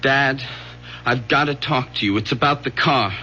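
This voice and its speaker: A man playing a scared, guilty young son in a radio drama, agitated and halting. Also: mid-range voice, sharp tone, close to an everyday voice. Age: young adult. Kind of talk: 1970s radio drama acting. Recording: dull, slightly noisy old radio recording.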